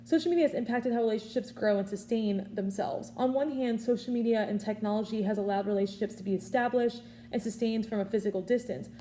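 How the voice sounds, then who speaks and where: Fast-talking young woman, mellow voice. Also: medium pitch, slight background noise. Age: early twenties. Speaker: student public speaker. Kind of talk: informal prepared persuasive speech.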